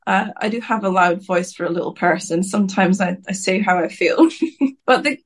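A woman's voice: medium pitch, moderate pace, breathy edge. A woman in her thirties, a singer-songwriter from Scotland, answering interview questions in an outspoken, rambling way, often breaking off and restarting her sentences.

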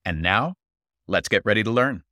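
A man with a bright, forceful tone and a fairly low voice reads a podcast sponsor message. His delivery is upbeat and scripted, recorded dry and close. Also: expressive, normal speed, dry recording. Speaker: podcast co-host reading sponsor ad. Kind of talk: read sponsor announcement.

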